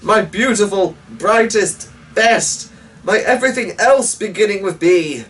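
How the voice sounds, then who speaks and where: A man acts out a blustering old storybook knight, a devoted father with a grumbling streak. He speaks in gruff exclamations, a little away from the microphone. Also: high voice, a little slow, a bit bright, recorded from a distance, voice pushed up. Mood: alarmed, shouting.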